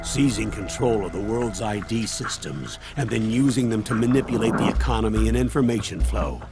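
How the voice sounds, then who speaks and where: An actor playing an advisor who explains grim politics to a soldier in a measured, grave manner. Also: male voice, on the low side, animated, over music. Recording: game cutscene with loud score.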